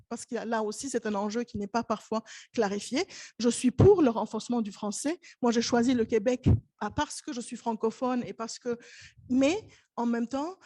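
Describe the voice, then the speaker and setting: Une femme chercheuse qui présente des résultats de recherche lors d'une table ronde universitaire, d'un ton sérieux et insistant. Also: female voice, fairly high pitch, warm tone, no room sound. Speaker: researcher presenting. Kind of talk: academic panel presentation. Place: university conference hall.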